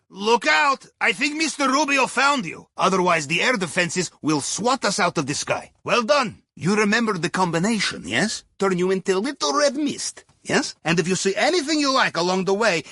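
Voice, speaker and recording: A man's voice: high-pitched, sharp tone, no echo, studio quality, full character voice. A man playing a wry, upbeat Russian submarine captain guiding a heist crew in a video game, with a Russian accent and clipped English. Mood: urgent, then calm, confident. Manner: wry, playful, theatrical, with clipped non-native English. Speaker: mission guide over comms.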